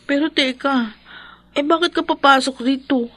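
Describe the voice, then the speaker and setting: A woman's voice: high voice, normal speed, fairly bright tone. A young woman's voice, an actress playing a battered, anxious housemaid who gives in to her boyfriend, in radio drama style. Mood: anxious, yielding.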